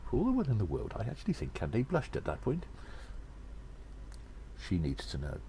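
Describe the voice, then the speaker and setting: A man playing a little gnome adventurer in an audio drama, confiding in his friends in a theatrical, halting way. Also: lowish voice, huge pitch swings, dark tone, slight background noise. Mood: fond, wry. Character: little male gnome adventurer, conspiratorial storyteller addressing friends. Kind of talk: scripted audio drama monologue with dialogue.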